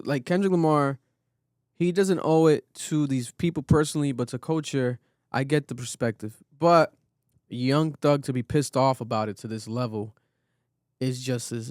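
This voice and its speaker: A man in casual podcast discussion as a co-host, speaking in slang, haltingly, often checking in with short tag questions. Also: mid-range voice, darkish tone, dry recording, studio quality.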